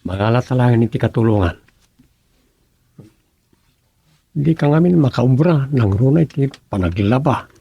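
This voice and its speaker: A man with an Ilocano accent playing a middle-aged village councilman in a radio comedy drama, with a gravelly, husky voice. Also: medium pitch, expressive, brisk, mellow. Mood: discussing, coaxing.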